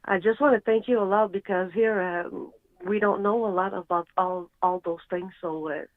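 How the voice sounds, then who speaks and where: A Quebec French woman calls in over a tinny phone line. She speaks English as a second language, hesitant and apologetic, filling gaps with "uh." Her pitch sits in the middle. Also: steady tone, moderate pace, soft background music. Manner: hesitant, apologetic, with many fillers. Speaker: caller.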